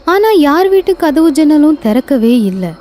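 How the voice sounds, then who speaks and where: A woman narrating an animated horror story in a lively storytelling manner. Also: high voice, a little slow, faint music behind.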